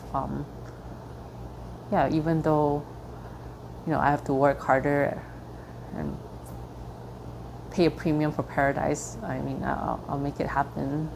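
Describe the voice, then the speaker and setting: A woman raised in Singapore, speaking thoughtfully as a guest in a personal interview and often pausing to search for the right words. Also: deep voice, creaky, a little mellow, a little hiss or hum, rough recording.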